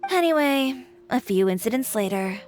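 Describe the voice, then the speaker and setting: A woman playing an assertive young fashion designer in a video game, speaking in a frank, wry and measured way. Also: high-pitched, lively intonation, a little mellow, soft background music. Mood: frank, proud.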